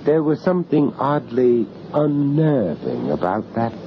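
An urbane gallery owner telling his own eerie tale in a radio horror drama. He is reflective and a little hesitant, with a widely moving, expressive voice. The recording is dull, with faint noise behind it. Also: mid-range voice, measured pace. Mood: uneasy, reflective. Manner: hesitant, reflective, with chuckles in dialogue. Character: urbane, uneasy foreign art gallery owner in London, recounting horror. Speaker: narrator and lead character of a radio horror story. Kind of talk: first-person radio drama narration. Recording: muffled, noisy old radio drama recording.

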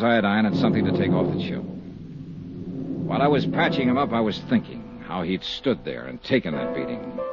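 A man's voice: mid-range voice, vocal fry. An American actor playing a tough, persistent insurance investigator in a 1950s radio drama, clipped and businesslike as he narrates and questions. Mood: grim, subdued. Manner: clipped, businesslike, hard-boiled. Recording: muffled 1950s radio recording, some music.

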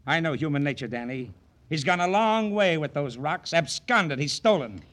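An actor playing a cynical, smug insurance investigator who needles and taunts, in the style of old radio crime drama. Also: male voice, a little high, animated, normal speed, a bit bright. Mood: smug, needling.

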